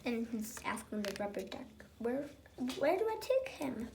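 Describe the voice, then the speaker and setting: A woman's voice: fairly high pitch, very animated, normal speed, creaky, a little mellow, a little hiss or hum. A female co-host casually commenting on a picture book in a musing, questioning way. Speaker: read-aloud co-host.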